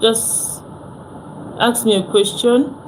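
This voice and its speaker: A woman's voice: fairly high pitch, steady tone, takes it slowly, background noise. A young Nigerian woman with a Nigerian accent, hosting a casual phone live stream, chatting with viewers and repeating herself.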